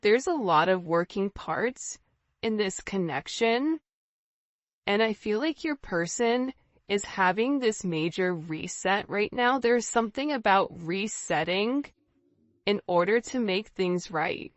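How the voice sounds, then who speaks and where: Female tarot host, mid-pitched, conversational, addressing the viewer directly; close dry sound. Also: expressive, slow, no room sound, muffled recording. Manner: conversational, often checking in with "right?" and "you know". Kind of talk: informal spiritual tarot reading, speaking directly to the viewer.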